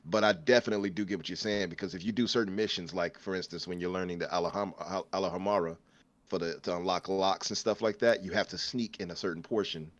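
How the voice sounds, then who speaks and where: A man's voice: low voice, steady tone, fast talker, no echo, highs cut off. A man guest on a gaming podcast, agreeable and hesitant, stumbling over words. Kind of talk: casual gaming podcast chat.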